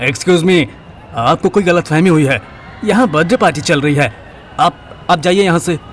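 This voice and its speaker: A man's voice: a little high, moderate pace, close to an everyday voice. A man playing an embarrassed, polite but exasperated young man who stammers earnestly in an audio drama. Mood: confused, flustered. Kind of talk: Hindi audio drama acting.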